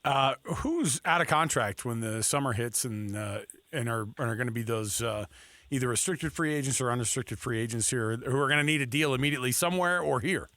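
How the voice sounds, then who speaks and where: A man's voice: medium pitch, fairly quick, pushed and bright, dry recording. An American man hosting sports talk radio on a close studio mic, chatting in an informal, chummy way. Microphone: close studio microphone.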